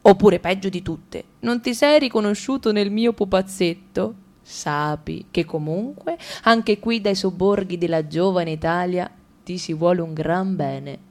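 A woman's voice: on the low side, a little slow, a little mellow. A woman narrating a satirical sketch for a radio play in a wry, ironic tone.

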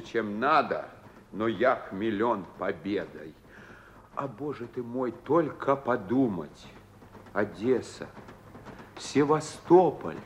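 Over faint background noise comes an exultant Soviet soldier in a radio drama. His voice is mid-pitched, and he speaks slowly with heavy emotional emphasis. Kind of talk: theatrical Russian radio drama.

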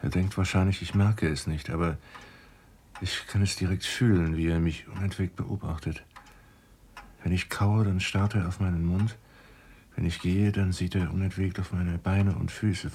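An actor in a German radio drama playing an obsessed, agitated scientist who speaks haltingly and intensely. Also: male voice, sits low, fairly even intonation, darkish tone, a little hiss or hum, lightly acted. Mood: obsessive. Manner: halting, intense, self-justifying. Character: obsessed, agitated male scientist under an eerie music's spell.

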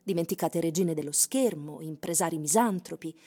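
A woman narrating a literary radio drama in measured, expository storytelling phrases. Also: sits low, dark tone, slightly rough. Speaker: actress narrator.

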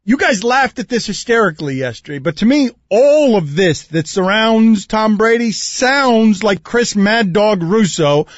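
A man's voice: high voice, lively intonation, unhurried, few pauses, no room sound. A man hosting a sports talk radio show, chatting wryly and reading listener texts from a studio, heard as a dull lo-fi broadcast. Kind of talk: casual studio banter.

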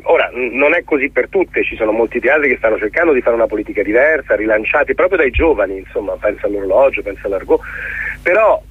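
A middle-aged man giving a reflective radio interview as a theatre guest, articulate but often pausing to search for words. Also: mid-range voice, animated, breathy edge, no echo. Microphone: telephone. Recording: muffled, thin telephone line on radio.

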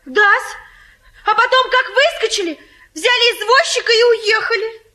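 An actress playing a brash, gossipy, sharp-tongued common woman in a classic Russian stage comedy, speaking in folksy exclamations. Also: very high-pitched, a little slow, heavily acted. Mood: breathless reporting.

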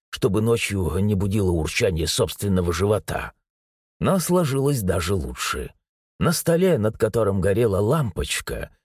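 A man narrating a novel as an audiobook, with expressive storytelling and ironic asides. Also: medium pitch, husky, no echo, studio quality. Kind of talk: scripted narration.

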